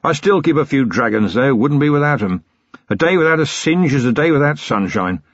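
Brief: narrator putting on jolly old army colonel, raised lively voice, husky edge. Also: male voice, mid-range voice, fairly quick, no echo, voice pushed up. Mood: hearty. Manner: hearty, rambling, cheerful. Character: bluff elderly male retired cavalry colonel. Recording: dry, slightly dull recording.

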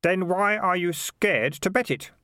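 A man's voice: sits high, expressive, measured pace, pushed and bright, smooth, dry recording, clean studio sound, pitched above the performer's own voice, exaggerated voice. A man voicing a plucky, earnest orphan boy in a storybook, speaking plainly and defiantly.

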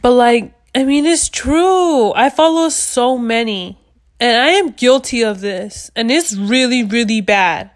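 Woman in her twenties, podcast host, loud and blunt, swears a lot, fairly high voice. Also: lively intonation, a little slow. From United States. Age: 20s. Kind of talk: casual chat.

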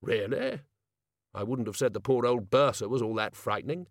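A man's voice: mid-range voice, expressive, normal speed, dry recording, clean studio sound, lightly acted. A man voicing a pompous, hearty older male wizard who runs a college, in an audiobook. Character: blustering, self-assured older male wizard, head of college. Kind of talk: audiobook character acting.

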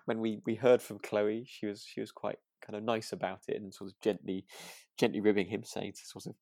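A man's voice: fairly low pitch, flat delivery, brisk, vocal fry, darkish tone, no echo. A man co-hosting a casual podcast about a radio drama, talking in a hesitant, rambling way. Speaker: podcast co-host.

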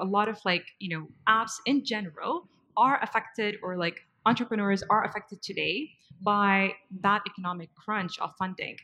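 A woman's voice: mid-range voice, pushed and bright. A woman moderating a panel discussion in a conversational way, with loose phrasing padded by filler words. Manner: loose phrasing, frequent "you know" and "like".

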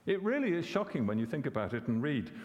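A man's voice: mid-range voice, big pitch swings, quick pace, a little mellow, big-room sound, dull audio. A man preaching a sermon, conversational and questioning, often repeating words as he corrects himself. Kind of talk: expository sermon.

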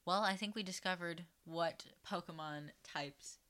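A man's voice: high voice, measured pace, sharp tone, smooth. A man from Minnesota with a Minnesotan accent, a podcast guest chatting casually in a wry, rambling way and swearing freely.

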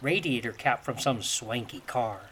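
An actor playing a shifty dockside thug in a 1930s crime audio drama, talking in gangster slang. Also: male voice, medium pitch, lively intonation, moderate pace, fairly bright tone, slight background noise, close to an everyday voice. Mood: shifty, eager.

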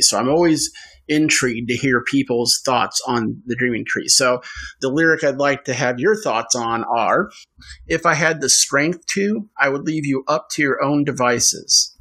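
A man's voice: medium pitch, bright, forceful tone, husky, rough recording. A man hosting a podcast, talking in an enthusiastic, conversational way. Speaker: podcast host. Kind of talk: casual chat.

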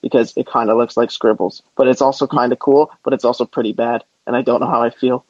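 A man's voice: medium pitch, fairly even intonation, fast talker, a little mellow, husky, no room sound. A man co-hosting a casual sports podcast with laid-back, teasing banter, on slightly dull, lo-fi audio. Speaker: podcast co-host.

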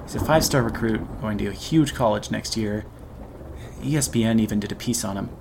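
Bullied high schooler for horror radio play , adult male actor: resentful, scared, creaky, noise behind. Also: brisk. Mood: bitter. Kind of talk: radio drama acting.

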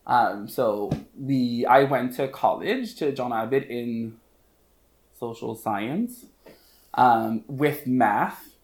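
A man's voice: mid-range voice, slow pace. Quirky man in his thirties, slight French Canadian accent, self-mocking and giggly, recorded in an echoey room. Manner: playful, laughs often, self-mocking. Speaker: podcast guest, hairstylist. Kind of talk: casual chat.

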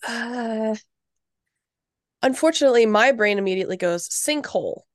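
A woman's voice: on the high side, lively intonation, moderate pace, no echo, clean studio sound. A woman co-hosting a podcast, chatting and reacting casually in a joking, slangy, informal way. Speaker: podcast co-host.

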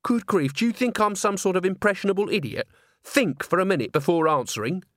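A man's voice: high-pitched, fairly quick, no room sound, studio quality, voice pushed up, natural voice. A man voicing an earnest, stubborn young woman in a storybook, with an insistent, pleading manner. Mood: indignant.

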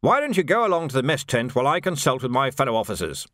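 A man's voice: mid-range voice, big pitch swings, fast, a bit bright, no echo, clean studio sound, pitched above the performer's own voice. A man voicing a newly promoted army major who sounds prim and flustered. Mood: uneasy.

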